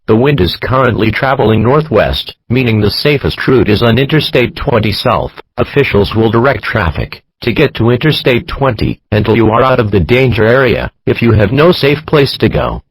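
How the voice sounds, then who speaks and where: Husky synthetic male emergency announcer. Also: mid-range voice, no room sound, poor audio. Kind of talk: scripted emergency broadcast announcement.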